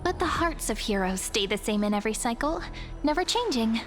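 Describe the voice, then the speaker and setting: High pitched friendly girl adventurer from a game, gentle, curious, very expressive. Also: brisk, soft background music. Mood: thoughtful. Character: friendly, curious, thoughtful young female adventurer companion. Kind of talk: video game character acting.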